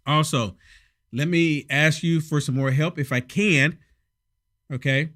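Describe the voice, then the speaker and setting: Hoarse sarcastic male commentator. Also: fairly high pitch, steady tone, measured pace, fairly bright tone. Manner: sarcastic, emphatic, repetitive. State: hoarse from a head cold. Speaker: political commentary host. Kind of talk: casual opinion commentary.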